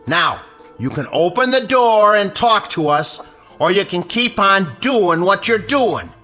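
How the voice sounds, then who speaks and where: A man's voice: high-pitched, very animated, unhurried, pushed and bright, soft background music, heavily acted. An actor playing an aggressive, bullying suburban man leading a panicked mob in a radio drama. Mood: defiant, threatening.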